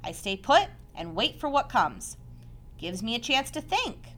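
Woman voicing a brisk, motherly storybook spider. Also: a little high, very animated, pitched above the performer's own voice, exaggerated voice. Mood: self-assured. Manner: brisk, precise, gently instructive. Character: calm, wise, motherly female spider, brisk and protective.

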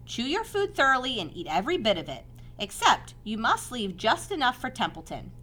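A woman voicing a calm, wise female spider in a storybook, speaking in a brisk, precise, gently instructive and protective way. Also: on the high side, voice pushed up, full character voice. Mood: firm, motherly.

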